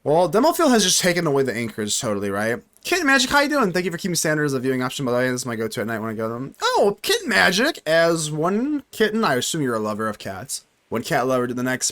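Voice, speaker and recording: A young man at a middle pitch narrates his own card game live, his voice jumping up and down with excitement. He jokes, mutters to himself and answers his chat in a loose, chatty way. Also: quick pace, few pauses. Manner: animated, talks to himself and chat, jokey. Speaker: gaming streamer. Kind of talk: live gameplay commentary.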